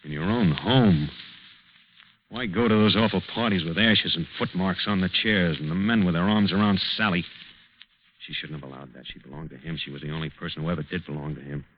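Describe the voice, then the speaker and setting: A man's voice: low-pitched, fairly quick. An actor playing a brooding, possessive, bitter husband in an old radio drama, intensely narrating his own seething thoughts. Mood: brooding, resentful. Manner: intense, brooding interior monologue. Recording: dull old radio recording under loud music.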